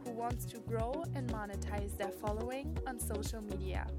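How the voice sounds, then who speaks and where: A woman's voice: fairly high pitch, normal speed, over loud music. A woman hosting a podcast, interviewing a guest in a gushing, enthusiastic way. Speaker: podcast host.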